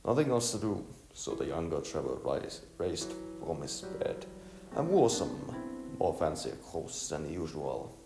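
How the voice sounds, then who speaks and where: Low slow guy narrating an audio novel, stumbles on words, echoey, music behind. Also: big pitch swings, gravelly. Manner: halting reading with stumbles and repeated words. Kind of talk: amateur scripted storybook narration. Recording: echoey room, music underneath.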